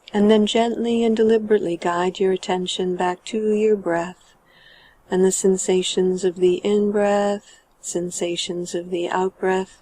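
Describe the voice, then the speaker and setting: A woman guiding a meditation in a calm, measured and gentle manner, letting her sentence endings trail off. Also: medium pitch, no echo. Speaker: meditation guide. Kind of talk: guided meditation narration.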